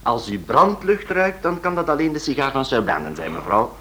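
An actor playing a cheeky, pedantic manservant with a deadpan, deferential wit in a radio play. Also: male voice, mid-range voice, normal speed. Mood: flippant, deferential. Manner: deadpan, over-literal, deferential. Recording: roomy old radio recording, noisy.

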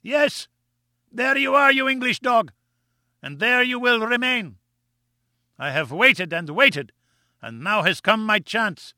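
A man reading an audiobook, voicing a vengeful, half-mad male villain who taunts in a shrill, gloating way. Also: animated, slow, pauses often, sharp tone, no echo, clean studio sound, heavily acted. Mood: taunting.

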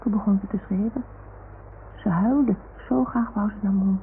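A woman's voice: mid-range voice, fairly even intonation, moderate pace, close to an everyday voice. A woman playing an imaginative, earnest young boy who repeats grown-ups' words to his toy animals in a radio play. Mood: anxious confiding. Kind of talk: 1960s Dutch radio play acting. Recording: old radio recording, phone-like band, noise.

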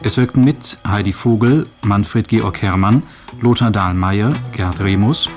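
Male announcer for vintage radio drama credits, lowish register, formal and measured, prominent music underneath.

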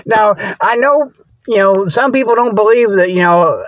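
Male radio host, high voice, folksy and rambling comic delivery, dry but dull lo-fi recording. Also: normal speed, dry recording. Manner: folksy colloquial grammar; repeats "okay" and "you know" as tags. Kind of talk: rambling comedic radio monologue.

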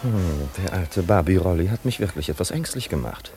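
An actor playing a wealthy, self-important, uneasy party host in a 1950s German radio play, earnest and touchy. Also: male voice, low voice, lively intonation, brisk, a little mellow, slight background noise.

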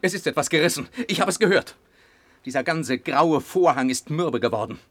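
A man playing an excitable, insistent companion in a German radio play. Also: a little high, a little fast, dry recording. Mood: fervent.

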